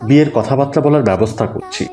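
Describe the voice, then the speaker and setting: A man's voice: medium pitch, fast, music in the background, close to an everyday voice. A man playing an earnest, persuasive middle-aged family friend in a cartoon, speaking courteously. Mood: earnest, reassuring. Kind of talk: cartoon dialogue.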